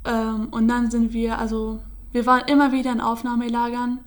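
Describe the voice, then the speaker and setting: A woman's voice: fairly high pitch, level tone, brisk. A young woman from Syria, telling her story in German with many fillers and restarts. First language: Kurdish. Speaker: interviewee. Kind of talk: casual personal account.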